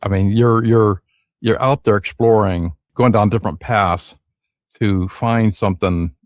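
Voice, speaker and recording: A man's voice: lowish voice, a little slow, no echo, clean studio sound. A man hosting a podcast in a casual, upbeat and chatty way, often using fillers. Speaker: podcast host. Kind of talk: casual interview chat.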